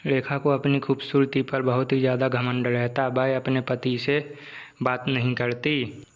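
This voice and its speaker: Slow, flat-toned male storyteller. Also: medium pitch, smooth, muffled recording. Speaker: story narrator. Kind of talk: scripted storytelling narration.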